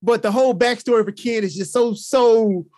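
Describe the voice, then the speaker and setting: An excitable man with a high voice who talks in casual slang and laughs often. The recording is dry and close. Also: moderate pace, no echo. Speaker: co-host. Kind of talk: casual chat.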